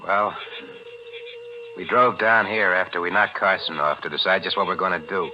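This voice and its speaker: An actor playing a desperate, greedy killer in a 1940s radio thriller, with rough, slangy, excitable talk. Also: male voice, low voice, lightly acted. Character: desperate, greedy killer hunting for a stolen diamond. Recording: dull, faintly noisy 1940s radio recording.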